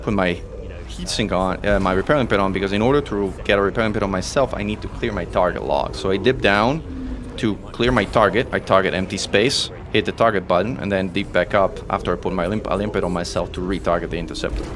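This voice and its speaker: A man from Italy whose first language is Italian, giving excitable live commentary as he plays, talking through each move. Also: low voice, fast, hardly any pauses, background noise. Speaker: streamer and commentator.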